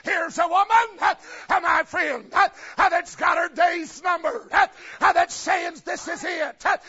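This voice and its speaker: A man preaching a chanted revival sermon in a shouted cadence, with an uh after every phrase. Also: very high-pitched, fairly even intonation, measured pace, a faint crowd. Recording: lo-fi recording with faint congregation. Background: congregation responding.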